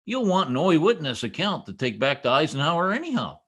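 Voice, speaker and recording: A blustering, long-winded husband acted by a man in an old radio comedy. He is folksy and boastful, with pitch that swings widely as he talks. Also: very animated, fairly quick, a bit bright. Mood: self-important. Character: blustering, long-winded, self-important husband, a tall-tale teller. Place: at home on a video call.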